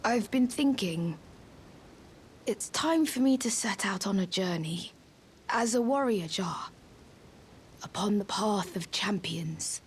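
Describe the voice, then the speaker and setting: Slow-spoken, earnest little living jar. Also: female voice, mid-range voice, expressive, slight background noise. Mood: tender, resolute. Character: small, earnest young living jar, timid but determined. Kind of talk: video game character dialogue.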